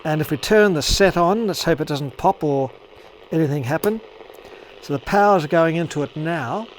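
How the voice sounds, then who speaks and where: A man's voice: on the high side, moderate pace, slight background noise, poor audio. A man giving a casual workshop demonstration, thinking aloud with some hesitation. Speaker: hobbyist radio repairer and presenter.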